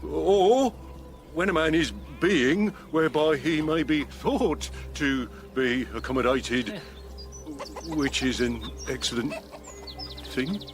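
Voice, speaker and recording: An actor playing a swaggering, dim soldier in a radio drama, speaking in a pompous, halting way. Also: male voice, on the high side, expressive, over music. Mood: muddled, pompous. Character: swaggering, dim, earnest soldier puffing himself up. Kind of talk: classical radio drama acting.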